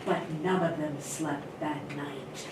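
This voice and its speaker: A woman's voice: sits low, fairly even intonation, takes it slowly. A woman reading a spooky story aloud as scripted narration. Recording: noisy, echoey, distant microphone.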